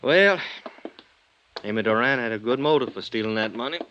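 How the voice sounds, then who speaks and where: An actor playing a gruff, world-weary police lieutenant in a mid-century radio detective drama, speaking tersely and matter-of-factly. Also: male voice, mid-range voice, animated, brisk, pushed and bright, slight background noise. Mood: resigned. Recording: dull, band-limited old radio recording.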